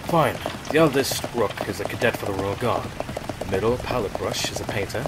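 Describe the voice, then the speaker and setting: Loud rain-like noise surrounds a low-voiced police inspector pony played by a man. He is blunt and matter-of-fact, a plain-spoken officer in an audio drama. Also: a little fast, lightly acted. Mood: matter-of-fact, testy.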